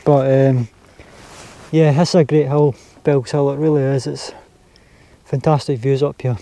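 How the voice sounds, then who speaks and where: A Scottish man talking casually to his camera while camping on a hilltop, relaxed and chatty. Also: medium pitch, measured pace, no echo. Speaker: outdoor vlogger. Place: outdoors on a windy hilltop campsite. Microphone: handheld camera or mini tripod.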